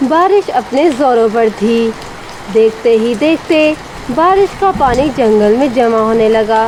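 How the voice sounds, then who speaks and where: A woman's voice: fairly high pitch, animated, measured pace, a little mellow, rough recording. A woman narrating a scripted children's story. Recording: faint rain and background noise.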